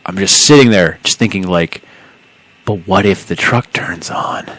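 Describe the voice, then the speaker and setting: A young man with a Texan accent, lower-pitched, telling a wild story to a friend and breaking into laughter. His voice leaps up in excitement, and the sound is dry and close to the mic, with no room around it. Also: unhurried, no room sound, low-quality recording. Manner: animated, laughing, swearing, voice jumps high when excited. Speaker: podcast co-host. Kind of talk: casual storytelling chat.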